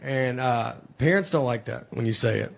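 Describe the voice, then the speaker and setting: A man in his forties doing deadpan stand-up comedy, telling slow stories with acted-out dialogue on stage. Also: mid-range voice, gravelly, slight background noise. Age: 40s. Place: stage before a live audience. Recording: loud audience laughter behind.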